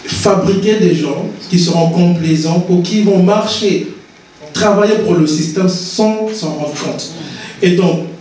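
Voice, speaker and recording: A man's voice: sits high, unhurried, darkish tone. Earnest man speaking in an echoey hall. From Congo. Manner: earnest, repeats and restarts words. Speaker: invited speaker, author. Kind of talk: impassioned public talk. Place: conference hall. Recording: echoey hall, distant mic.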